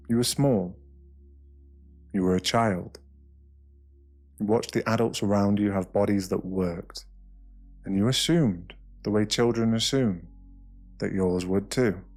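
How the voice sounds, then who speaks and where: A British man with a deep, low voice reads a guided meditation. He speaks slowly and softly in short, soothing phrases, leaving space between them. Music plays behind him. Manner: slow, hushed, soothing delivery with short phrases and pauses. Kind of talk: ASMR guided meditation, scripted narration.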